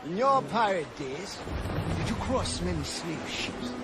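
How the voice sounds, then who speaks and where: A man's voice: high voice, big pitch swings, unhurried. A man playing an earnest, principled Maroon freedom fighter in a video game, speaking formally. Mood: curious. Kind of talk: dramatic video game acting. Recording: loud game music over voice. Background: loud orchestral game music.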